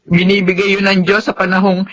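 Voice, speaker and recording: A woman's voice: mid-range voice, flat delivery, brisk, close to an everyday voice. A woman playing a devout, long-suffering elderly grandmother and wife, patient and pleading, in a melodrama. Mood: meek, pleading. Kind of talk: Tagalog radio-style melodrama acting.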